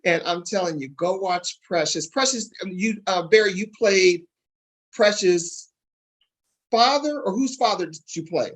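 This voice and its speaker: A man's voice: sits high, expressive, a little slow, fairly bright tone. A man hosting a casual interview, repeating phrases for emphasis and speaking directly to the audience. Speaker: interview host.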